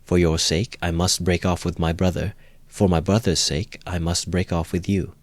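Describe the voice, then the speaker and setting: A man voicing an earnest, resolute young woman speaking with measured feeling. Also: sits low, flat delivery, no room sound. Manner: earnest and deliberate.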